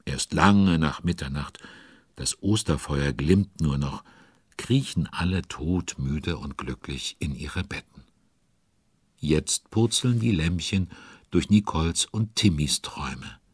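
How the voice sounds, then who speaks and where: A man's voice: extremely low pitch, slow pace, breathy edge, dry recording, studio quality, full character voice. A man playing a chatty, nosy storyteller, narrating a children's audio drama and speaking directly to the listeners. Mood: storytelling. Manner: conversational, addresses the listeners.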